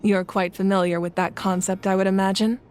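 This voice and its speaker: An actress playing a composed young female ruler-god in a video game, speaking in a measured, earnest, caring way. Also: mid-range voice, level tone, darkish tone, close to an everyday voice. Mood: solemn, explaining. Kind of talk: video game dialogue, storytelling.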